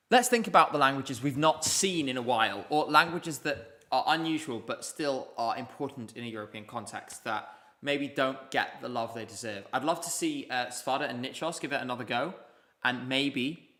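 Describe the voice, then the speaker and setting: A man's voice: mid-range voice, sharp tone. A man hosting a live stream, chatting casually and rambling with many ums as he reads out viewer comments. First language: English. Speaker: livestream host. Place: indoors, streaming from Basel.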